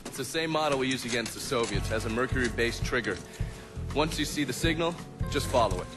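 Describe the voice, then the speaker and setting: A man acting as a cynical intelligence agent in a crime game. He is profane and sardonic, with a weary edge. His mid-pitched voice plays over music. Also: lively intonation, fairly quick, close to an everyday voice. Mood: dry, sardonic. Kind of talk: video game cutscene acting.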